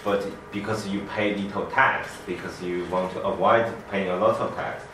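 A man's voice: deep voice, fairly even intonation, moderate pace, noisy background. A man joining a seminar discussion, building on the speaker's point. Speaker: seminar participant. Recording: distant voice in a very echoey room.

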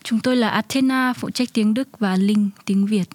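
A woman's voice: mid-range voice, moderate pace, no echo. A woman co-hosting a radio magazine from a script.

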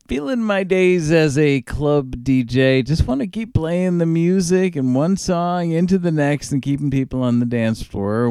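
A man hosts a radio show with relaxed, informal chat. His voice is smooth and mellow, at a middling pitch. The sound is dry, with no room to it. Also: dry recording. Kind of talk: casual radio show talk.

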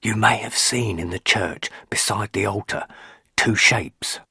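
A man's voice: lowish voice, unhurried, creaky, breathy edge, no echo, studio quality, voice pushed up, heavily acted. A man voicing an elderly, stubborn, superstitious rustic housekeeper woman who speaks in country dialect. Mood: ominous. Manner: rustic dialect grammar, hushed ominous.

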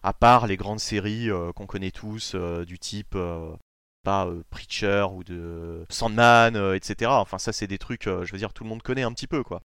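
A man's voice: deep voice, normal speed, a bit bright, clean tone, no echo, clean studio sound. A man from France hosting a casual comics podcast, talking loosely with many hesitations and the odd swear word. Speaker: podcast host.

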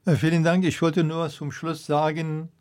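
A man's voice: fairly high pitch, flat delivery, moderate pace, a little mellow. A middle-aged man with a Persian accent, a doctor answering radio interview questions hesitantly, searching for his words. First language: Persian (Dari).

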